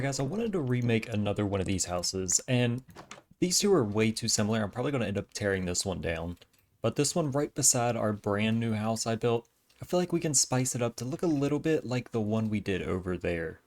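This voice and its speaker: A man casually talking through his building work in a video game, easygoing and thinking aloud, his voice a little raspy from allergies. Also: on the low side. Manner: relaxed, easygoing self-talk while building. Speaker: gaming channel host. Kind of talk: casual gameplay commentary. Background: faint background music.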